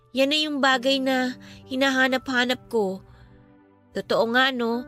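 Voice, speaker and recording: Young grieving sister in a radio drama, high bright voice, earnest n reassuring, music behind. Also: female voice. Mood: wistful, reflective. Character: grieving, devout younger sister consoling her older friend. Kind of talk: Filipino radio drama acting.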